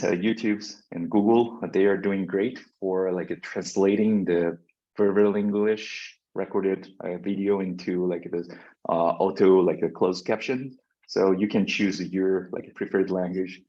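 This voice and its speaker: A man's voice: low voice, fairly even intonation, measured pace, mellow. A man whose first language is Korean, giving a university lecture with many fillers and restarts. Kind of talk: classroom lecture. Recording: dull lo-fi lecture recording.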